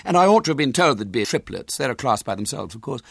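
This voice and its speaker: A man's voice: mid-range voice, sing-song, quick pace, gravelly, slightly rough, dry recording, clean studio sound, pitched above the performer's own voice, full character voice. A man voicing a bossy, officious middle-aged woman who questions sharply and disapproves.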